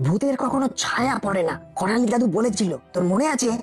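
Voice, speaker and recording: A woman's voice: a little high, brisk, faint music behind, lightly acted. A woman voicing a bold, curious young boy sleuth in a cartoon, talking earnestly and reasoning things out. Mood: eager, secretive. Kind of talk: cartoon dialogue.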